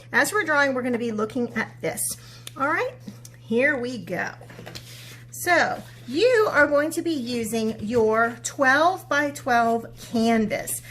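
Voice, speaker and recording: A woman with a high, bright voice addresses children as their art teacher and story reader. Her delivery is expressive and encouraging, guiding listeners along step by step. There is faint noise behind her. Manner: encouraging, expressive, asks checking questions. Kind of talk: animated read-aloud and step-by-step instruction for children.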